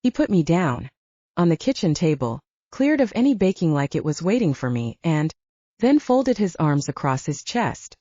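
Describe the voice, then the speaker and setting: A woman narrates a first-person novel with lively, swinging intonation. Her voice is soft-edged and mellow, a little low for a woman. The recording is very dry and somewhat dull, as in a lo-fi audiobook. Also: moderate pace, dry recording. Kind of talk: scripted first-person fiction narration with acted dialogue.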